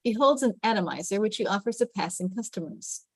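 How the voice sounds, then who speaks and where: A woman's voice: medium pitch, animated, brisk, husky, dry recording. A woman reading stage directions as scripted narration in a table read.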